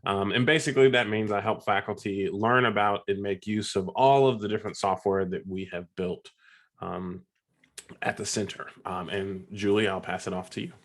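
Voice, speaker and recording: A man with a stuffy sore-throat voice, presenting a webinar in a halting way, with many ums and uhs. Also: on the low side, fairly even intonation. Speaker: software ambassador presenting a webinar. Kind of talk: casual presentation.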